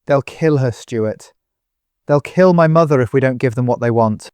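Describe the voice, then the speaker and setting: An actor playing an anguished, desperate husband pleading with raw emotion in an audio drama. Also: male voice, mid-range voice, moderate pace, a little mellow, clean tone, no echo, close to an everyday voice. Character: anguished, desperate husband whose mother is kidnapped.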